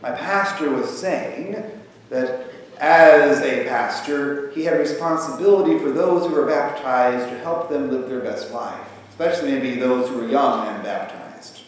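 A man in his thirties preaching a sermon from a church pulpit, talking in a conversational, animated way. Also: medium pitch, measured pace. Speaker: pastor. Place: large church sanctuary with pipe organ. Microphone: far camera across the sanctuary. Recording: echoey sanctuary from far off, faint hiss.

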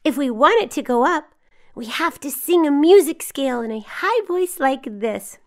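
Cheerful little girl for a kids cartoon, really high and bouncy, caring, teachy. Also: lively intonation, moderate pace. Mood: reassuring. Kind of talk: children's cartoon dialogue, teaching the viewer.

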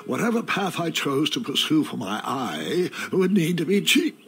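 A man's voice: fairly high pitch, very animated, moderate pace, fairly bright tone. An actor playing an elderly king who fondly narrates tales of his youth in an adventure game. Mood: reminiscing. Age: older. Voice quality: gravelly. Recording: music playing behind the narration.

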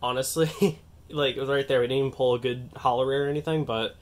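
A young man with a nasal voice from a cold, chatting excitedly and rambling as he opens trading card packs on a table. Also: medium pitch, fairly quick. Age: young adult. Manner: excitable, rambling, self-mocking. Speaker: card-opening channel host. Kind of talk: casual unboxing vlog. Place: indoors at a table, hands on camera.

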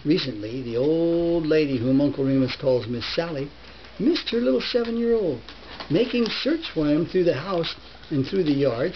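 Elderly man storytelling, very lively pitch. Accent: put-on American Southern dialect. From northern United States. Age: older, 70s. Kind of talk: animated reading of a folk tale in dialect. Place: book-lined living room. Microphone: camera a short distance away. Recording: muffled home camera recording with hiss.

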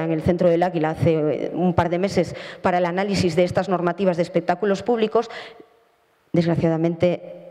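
A woman's voice: fairly low pitch, dark tone. Earnest woman lecturing in a reverberant hall. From Spain. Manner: earnest, argumentative, frequent self-corrections. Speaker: conference speaker. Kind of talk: formal conference talk. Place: large auditorium stage. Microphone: handheld microphone.

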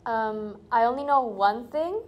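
A woman's voice: fairly high pitch, animated, unhurried, dull audio. Frank young woman from Indonesia. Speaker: interviewee. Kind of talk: casual interview answers. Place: indoors by a projection screen.

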